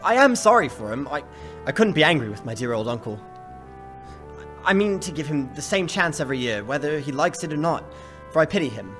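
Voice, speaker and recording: A cheerful young party host, jovial and laughing, with a bright, forceful voice that leaps around as he talks, played by a man. Soft music sits behind this live church radio play. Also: a little high, big pitch swings, a little fast. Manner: jovial, laughing, warm-hearted.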